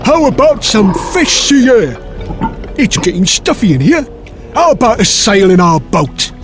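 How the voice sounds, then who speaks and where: A man's voice: high voice, very animated, a little slow, music in the background, pitched above the performer's own voice. A man voicing a mean, scheming dwarf villain in an audiobook, muttering and impatient. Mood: falsely polite. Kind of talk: audiobook character voice.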